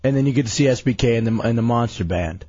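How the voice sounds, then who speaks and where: A man's voice: mid-range voice, fast talker, darkish tone, husky, muffled recording. A man co-hosting a radio show with a sick, worn voice, joking and rambling in casual banter. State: sick, losing his voice.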